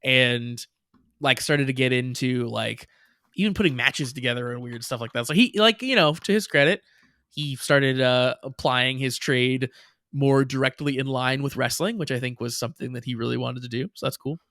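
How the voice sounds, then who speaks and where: A man's voice: mid-range voice, normal speed, bright, forceful tone, no echo, studio quality. A young man co-hosting a podcast, chatting in a rambling way with many restarts and frequent laughs. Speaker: podcast co-host. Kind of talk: casual chat.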